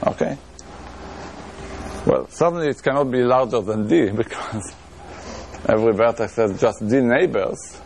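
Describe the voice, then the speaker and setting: A man's voice: medium pitch, unhurried, gravelly, pauses often, darkish tone, a little hiss or hum. A middle-aged man with an Israeli accent giving a lecture in a room, thinking aloud with many restarts and fillers. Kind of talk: academic lecture on math.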